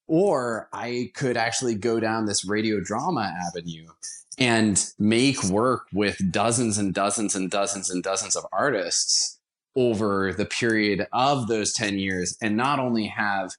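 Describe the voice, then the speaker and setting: A man's voice: on the low side, fairly bright tone. A man talking casually and enthusiastically as an interview guest, with emphatic turns of phrase. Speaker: podcast guest, theater founder.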